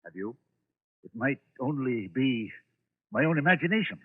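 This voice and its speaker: A man's voice: medium pitch, sing-song, normal speed, husky, narrow, tinny audio. An actor playing a domineering middle-aged businessman in 1940s radio style, imperious and formal, haunted by his past. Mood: uneasy, brooding. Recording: band-limited, noisy old radio recording.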